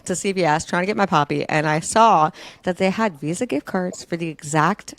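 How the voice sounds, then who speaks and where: A woman's voice: lowish voice, a little fast, dry recording. Chatty woman telling rambling stories, dry sound. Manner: rambling, chatty, self-deprecating, swearing. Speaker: podcast co-host. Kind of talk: casual comedic storytelling among friends.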